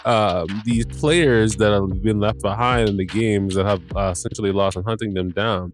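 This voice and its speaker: A man's voice: on the low side, steady tone, normal speed. A man hosting a casual recap podcast with a stuffed-up nose, rambling, correcting himself and swearing casually. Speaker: podcast host.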